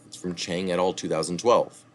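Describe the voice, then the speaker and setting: A man reading a health article aloud, pausing to add citation asides and correct his own slips. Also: low voice, steady tone, slight background noise. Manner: reads steadily, adding asides and correcting slips.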